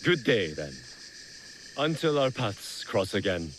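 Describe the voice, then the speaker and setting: A man's voice: lowish voice, very animated, slow pace, a bit bright, slight background noise, exaggerated voice, poor audio. A man playing a falsely humble shrine servant in a game drama, unctuous with veiled menace. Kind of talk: dramatic game cutscene acting.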